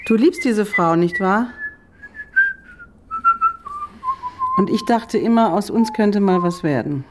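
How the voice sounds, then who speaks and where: A woman's voice: medium pitch, lively intonation, moderate pace, very noisy, natural voice. An actress playing a dry, jealous woman, unhappily in love and tersely sardonic, in a German radio crime drama. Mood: sullen, hurt.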